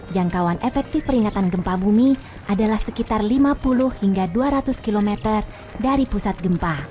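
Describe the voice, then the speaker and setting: A young woman voicing a friendly female tour guide in a kids' educational cartoon, explaining things patiently and clearly. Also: mid-range voice, dark tone, lightly acted.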